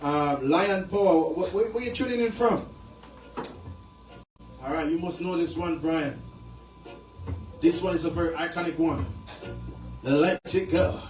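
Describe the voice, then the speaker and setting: High man hosting a live stream. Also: unhurried, long pauses. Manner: playful, repeats phrases, laughs often. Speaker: show host. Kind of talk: lively live-stream chat with music. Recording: echoey room from far off with music.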